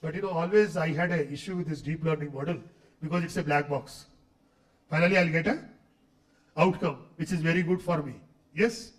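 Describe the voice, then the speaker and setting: A man's voice: a little high, a little fast, long pauses. A middle-aged man with an Indian English accent, lecturing a room of faculty with a cold, chatty and full of questions for the audience. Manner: conversational, asks the audience questions, says okay often. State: has a cold, voice not clear. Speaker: guest lecturer. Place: lecture hall. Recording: echoey hall, speaker far from mic.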